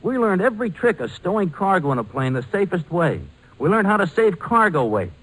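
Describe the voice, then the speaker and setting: An eager young flyer with a slightly high voice in a 1940s radio drama. He is earnest and insistent, a born persuader, heard on an old broadcast recording. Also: very animated, fairly bright tone, heavily acted, low-quality recording. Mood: earnest, persuasive. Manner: earnest, insistent, fast-talking salesmanship. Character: eager, persuasive young ex-military cargo pilot pitching an airline.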